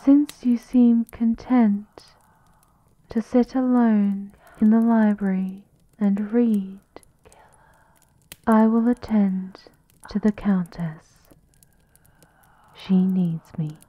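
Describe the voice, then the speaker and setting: A woman plays a devoted female servant of a gothic castle in an ASMR roleplay. She speaks very slowly and formally, with great deference. The sound is dry and a little dull. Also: mid-range voice, steady tone, no echo, voice pushed up. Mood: patient, dutiful.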